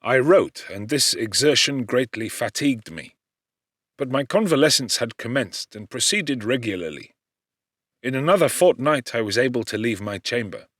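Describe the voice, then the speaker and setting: Expressive male audiobook narrator. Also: mid-range voice, no room sound, clean studio sound. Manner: expressive, measured storytelling delivery. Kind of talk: scripted literary narration.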